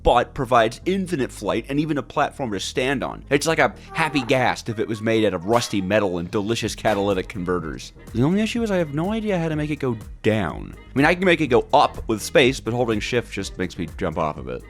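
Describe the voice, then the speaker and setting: A man's voice: medium pitch, big pitch swings, brisk, few pauses, faint music behind. A man narrating gameplay from a script in a theatrical, playful and wry manner. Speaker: gaming video host.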